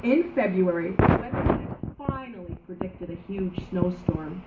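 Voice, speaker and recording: Woman reading a storybook in an echoey room. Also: on the low side. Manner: animated, dramatic, drawn-out emphasis. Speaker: storybook reader. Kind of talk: expressive children's read-aloud narration. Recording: roomy echo, background noise.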